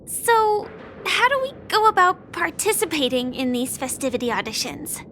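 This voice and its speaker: A woman voicing a gentle, earnest young woman ally in a game who speaks softly and sincerely. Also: very high voice, very animated, normal speed, slight background noise, heavily acted. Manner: soft-spoken and sincere.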